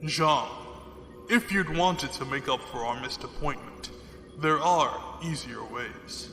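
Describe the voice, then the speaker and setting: A man's voice: medium pitch, slow, bright, forceful tone. A man playing a courtly, condescending, ruthless fleet commodore villain in an audio drama, speaking formally. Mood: smug, courtly. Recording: echoey hall reverb, music behind.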